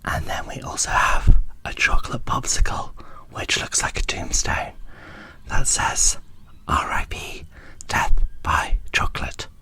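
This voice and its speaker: Slow, whispering woman. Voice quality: breathy, unvoiced whisper. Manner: hushed, unhurried, describing small details. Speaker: host of a doll unboxing video. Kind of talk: ASMR unboxing commentary.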